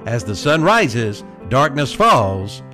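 A man's voice: medium pitch, big pitch swings, slow pace, sharp tone. A man reading scripted local radio commercials as an announcer. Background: music bed.

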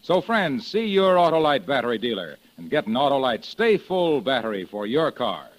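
A man's voice: mid-range voice, sing-song, normal speed. A man announcing a commercial in the polished style of 1940s radio advertising.

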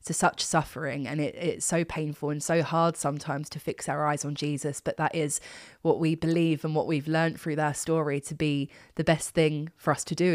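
A woman with a low, smooth and clear voice hosts a conversational podcast. She talks effusively and encouragingly to her guest. The sound is dry and close. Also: no room sound. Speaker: podcast host. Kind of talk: warm conversational interview.

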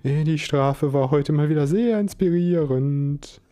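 Man doing a jumpy young nerd, stammering, excitable, high-pitched, storybook character. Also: a little slow, natural voice.

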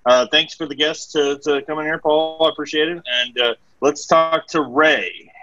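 Brief: male panelist, higher bright voice, halting, dry muffled audio. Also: fairly even intonation, no room sound. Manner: halting, many fillers. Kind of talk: casual webcast chat.

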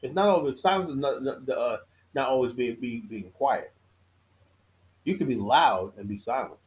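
A middle-aged man hosting his own podcast, giving a casual monologue with a preachy tone, repeating key lines for emphasis, often halting and restarting. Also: mid-range voice, lively intonation, phone-quality sound. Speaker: podcast host.

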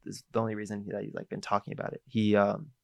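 A young man with a low, gravelly voice chats on a podcast, recorded close and dry. He talks in a relaxed, halting way, full of little fillers. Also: no room sound. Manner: relaxed, hesitant, many fillers. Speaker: podcast co-host. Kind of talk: casual chat.

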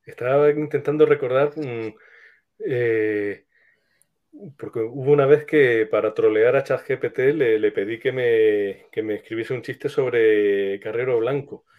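A man with an accent from Spain talking casually as an interviewed scriptwriter, thinking aloud with hesitant pauses. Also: medium pitch. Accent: Spanish from Spain. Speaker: scriptwriter guest being interviewed. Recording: tinny, phone-like line.

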